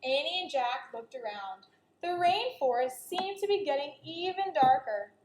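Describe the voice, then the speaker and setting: A woman's voice: sits high, animated, unhurried, darkish tone, reverberant, a little hiss or hum. A woman teacher reading a children's story aloud, expressively and speaking directly to her young listeners.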